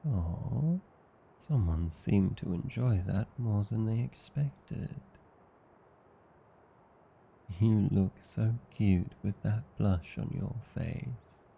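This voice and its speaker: Man acting a slow, low-voiced Australian vampire husband. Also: expressive, creaky, lo-fi sound, slight background noise, exaggerated voice. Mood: tender, teasing. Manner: soothing, unhurried, coaxing. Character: tender, teasing vampire husband with hypnotic calm. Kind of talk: ASMR roleplay, slow intimate scripted acting.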